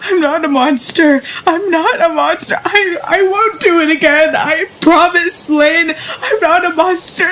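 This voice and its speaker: A woman playing an unstable, guilt-ridden runaway in an apocalyptic western drama, halting and pleading. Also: very high voice, a bit bright. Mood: anguished. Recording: faint noise with cricket ambience.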